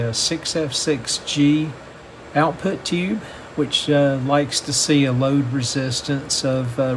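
A man gives a hands-on technical walkthrough at an unhurried pace. His delivery is flat and matter-of-fact, moving step by step at a medium pitch. A steady air-conditioning-like noise runs clearly behind his voice. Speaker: host of a radio repair channel. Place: indoor workbench.

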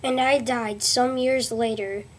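An earnest Viking explorer, played by a teenage girl tells of his voyages in a school report. The voice sits fairly high and sounds husky, with halting restarts. It is recorded close on a webcam in a small room. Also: fairly even intonation, rough recording. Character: male Viking explorer Leif Erikson, adult, earnest. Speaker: student presenter. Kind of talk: school history report in character. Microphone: webcam at close range.

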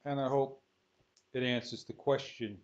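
A man giving an unscripted software tutorial, thinking aloud in short, halting phrases as he works. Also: medium pitch, steady tone. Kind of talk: unscripted screen-recorded tutorial. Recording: dull lo-fi sound.

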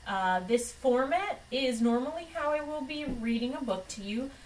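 A young woman reading a storybook aloud to children in an expressive, deliberate way. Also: high-pitched, a little hiss or hum. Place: small room at home, indoors. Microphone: camera microphone from across the room. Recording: distant microphone in a room.

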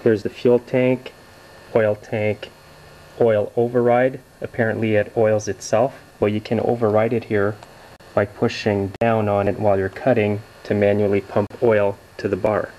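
A young man hosting a casual show-and-tell video in his garage workshop, explaining in a relaxed, plain-spoken way. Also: fairly low pitch, unhurried, muffled recording. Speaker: video host. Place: home garage workshop, indoors.

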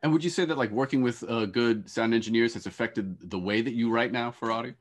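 A middle-aged man moderating an online panel, speaking haltingly and correcting himself, on a headset over a video call. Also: mid-range voice. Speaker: panel moderator, theatre professor. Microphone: earphone headset on a video call.

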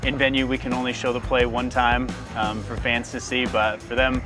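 A man explains his work in an informal interview. His pitch sits in the middle of the male range. Music is clearly audible beneath his words. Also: little pitch movement, normal speed. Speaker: stadium game-day production staffer. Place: stadium press box. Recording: music under speech.

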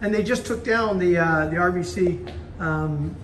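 A man's voice: high-pitched, unhurried, roomy sound, far from the mic, slight background noise. A man hosting a casual local video tour, chatting with enthusiasm inside an art gallery. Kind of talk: casual chat.

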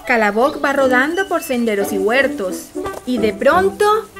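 A woman's voice: high voice, big pitch swings, unhurried, loud music behind the voice. A woman narrating a children's fairy tale as a scripted storyteller.

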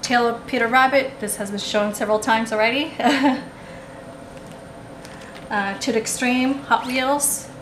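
A woman's voice: on the high side, steady tone, a little slow, pauses often, a bit bright. A woman chatting casually through a haul at a table, rambling with lots of ums and restarts, recorded on the camera. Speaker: haul vlogger. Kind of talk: casual show-and-tell haul. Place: indoors at a table, camera over the table. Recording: echoey room with background noise.

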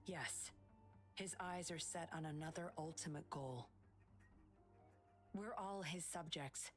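An actress playing a sly, secretive young woman patroller in an anime-style video game, cool, measured and teasing. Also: deep voice, soft background music. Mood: composed, confiding.

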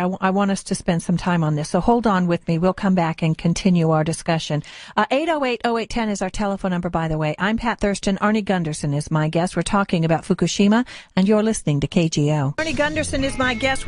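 A woman's voice: medium pitch, no room sound. A woman with an American accent hosting a radio talk show in a conversational way. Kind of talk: conversational radio interview, reading aloud at times. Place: radio studio.

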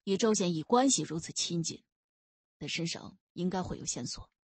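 A woman voicing a measured, authoritative older man, a father, in an audiobook. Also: fairly low pitch, expressive, a little mellow, slightly rough, no echo, voice pushed down. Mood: firm. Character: older, sober, authoritative former guardsman and father.

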